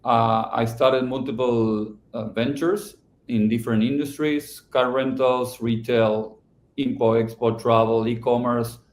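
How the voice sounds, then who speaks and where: A middle-aged man from Argentina, answering podcast interview questions about his company, halting and restarting often. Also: mid-range voice, steady tone, reverberant. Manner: halting, with many restarts and fillers. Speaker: company founder and CEO.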